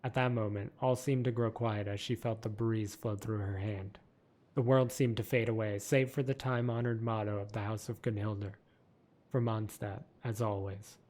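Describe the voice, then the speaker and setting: A man reading a game character's story aloud with a steady, even delivery. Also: fairly low pitch, moderate pace. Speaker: lore video narrator.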